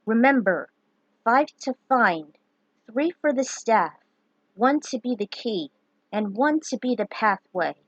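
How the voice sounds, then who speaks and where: A woman's voice: a little high, expressive, takes it slowly, no room sound, muffled recording. A woman voicing a solemn old wizard who counsels warriors in archaic speech in a fantasy story.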